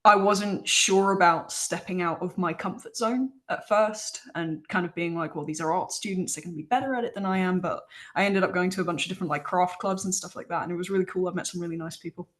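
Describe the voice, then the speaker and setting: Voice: medium pitch, fast, a bit bright. Young student chatting casually. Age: young adult. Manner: casual, many fillers. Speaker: student ambassador. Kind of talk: webinar panel answer.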